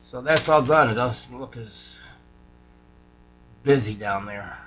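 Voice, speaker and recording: A man's voice: mid-range voice, takes it slowly, a bit bright, low-quality recording. A man talking casually through a hands-on radio repair, informal and folksy, thinking aloud as he goes. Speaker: radio repair hobbyist showing a restoration. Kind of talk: casual hands-on workshop chat. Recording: clear background noise, electrical hum.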